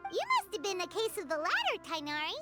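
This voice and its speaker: A tiny, curious fairy sidekick from a video game, chirping in an extremely high childlike voice. Her pitch leaps around wildly with each phrase, and music plays clearly behind her. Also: very animated, fast talker. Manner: refers to self in third person, childlike.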